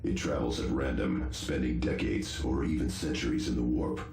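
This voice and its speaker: A man's voice: a bass voice, moderate pace, a little mellow, slight background noise. A man playing a solemn, scholarly space marine technician in a video game, giving formal and precise briefings. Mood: solemn, certain. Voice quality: gravelly. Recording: roomy game voice over music.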